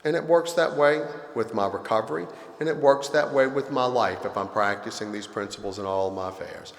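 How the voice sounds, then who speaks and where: A man's voice: medium pitch, a little fast, gravelly. Man, 50, from Louisiana; earnest heartfelt speaker, echoey convention hall, long reverb. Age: 50s. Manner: earnest, confessional, emotional. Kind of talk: personal recovery talk.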